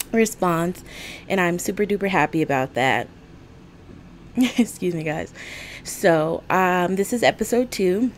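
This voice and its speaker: A chatty woman with a slightly raspy morning voice hosts a laid-back podcast from home, talking slowly and taking her time. Her speech is friendly and a bit apologetic, and the faint hum of a coffee maker sits behind her. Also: on the low side. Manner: chatty, apologetic, easily excited. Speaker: podcast host. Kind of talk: casual chat to camera. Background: coffee maker running.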